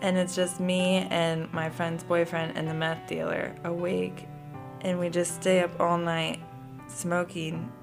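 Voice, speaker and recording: A woman's voice: lowish voice, flat delivery, measured pace, vocal fry, music in the background. A woman in her twenties telling her own story in a casual way, with many "like" fillers. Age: 20s. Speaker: interviewee. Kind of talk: personal testimony.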